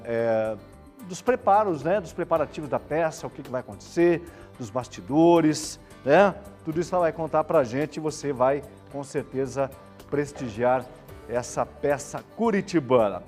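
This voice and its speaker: A man's voice: medium pitch, a little mellow. A man presenting a live variety show in a chatty, colloquial manner. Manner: chatty, colloquial, checks in with listeners. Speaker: television show host. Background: background music.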